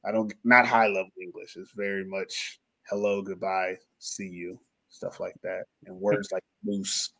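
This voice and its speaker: A man's voice: low voice, flat delivery, measured pace, sharp tone. Male podcast host, loose and rambling, pokes fun at himself, easygoing sports banter. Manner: rambling, self-mocking, jokey. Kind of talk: casual sports podcast chat. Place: lives in Finland.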